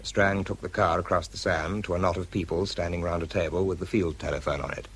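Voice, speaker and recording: A man's voice: low voice, fairly even intonation, fairly quick, fairly bright tone, husky, heavily acted. An actor playing a nervous, understated bomb disposal man, narrating and talking in a radio drama. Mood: reflective, tense. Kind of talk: radio drama, first-person narration and dialogue.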